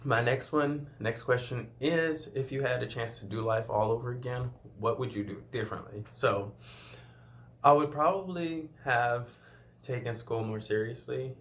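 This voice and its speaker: A man's voice: medium pitch, normal speed, a bit bright, slight background noise. A man in his late twenties from Louisiana, chatting casually through a Q&A for his first vlog, with frequent laughs and rambling asides. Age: 20s. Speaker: vlogger.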